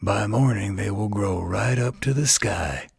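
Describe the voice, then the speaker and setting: A man's voice: on the low side, a little slow, vocal fry, breathy edge, dry recording, studio quality, pitched above the performer's own voice, exaggerated voice. A man voicing a funny little old trader from a bedtime storybook, chirpy and persuasive. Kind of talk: storybook character in bedtime audio.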